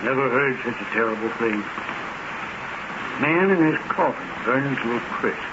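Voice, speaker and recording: A man's voice: mid-range voice, expressive, moderate pace, natural voice. An actor playing a shocked, inquisitive local man in an old-time radio drama. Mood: shocked curiosity. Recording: noisy, dull vintage radio recording.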